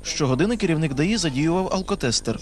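A man's voice: fairly high pitch, lively intonation, fast, crowd noise. A man reading scripted narration as a television news reporter.

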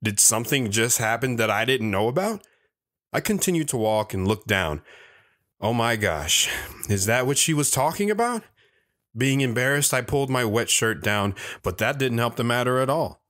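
A man's voice: medium pitch, sing-song, normal speed, a bit bright. A man playing a bashful, wistful wanderer recounting his youth in an audio drama. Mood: reflective. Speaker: storyteller. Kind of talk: first-person audio drama narration.

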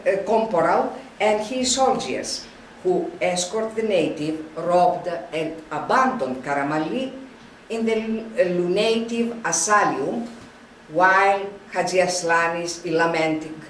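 Older woman reading a lecture slowly, roomy. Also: fairly low pitch, a little hiss or hum. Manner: slow, halting reading with frequent restarts. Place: wood-panelled room, indoors. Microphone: camera at a distance. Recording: roomy, distant camera sound.

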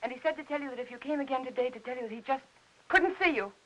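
An actress playing an anxious, evasive American housewife in her thirties in a 1950s film noir, with a halting, nervous delivery. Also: high voice, a bit bright, highs cut off. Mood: desperate, pleading. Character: anxious, evasive young housewife hiding panic. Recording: hissy old 1950s film soundtrack.

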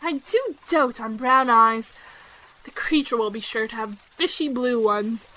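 A woman's voice: on the high side, lively intonation, measured pace, pushed and bright, rough recording. A woman acting a candid, outspoken, headstrong young girl in a period romantic audio drama, with a theatrical, emphatic manner. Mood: excited, dramatic.

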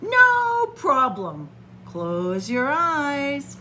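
A woman's voice: sits high, wildly swooping pitch, extremely slow, bright, forceful tone, slight background noise, pitched above the performer's own voice, exaggerated voice. A woman voicing a boastful shark from a picture book, bragging and exclamatory. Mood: cocky. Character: boastful shark, jumpy about spiders. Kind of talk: picture-book read-aloud. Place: indoors in a library.

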